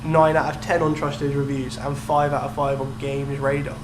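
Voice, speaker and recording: A man's voice: medium pitch, normal speed. A young man co-hosting a podcast, chatting casually about video games. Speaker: podcast co-host. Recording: roomy echo, steady background noise and hum.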